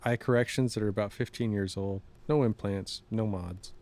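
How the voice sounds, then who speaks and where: A man's voice: fairly low pitch, fairly even intonation, moderate pace, darkish tone, natural voice. A man acting in an audio drama as an anxious, defensive cyberpunk fugitive, casual and hesitant in his talk.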